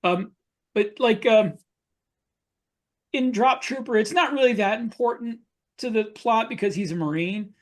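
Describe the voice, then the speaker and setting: A man's voice: high voice, a little slow, long pauses. A man talking casually as an author on a podcast panel, explaining things and jumping in readily.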